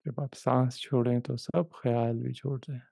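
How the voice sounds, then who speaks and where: A man leading a guided meditation, giving gentle, unhurried instructions in short repeated phrases with long pauses. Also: mid-range voice, flat delivery, creaky, no room sound. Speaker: meditation guide, life coach.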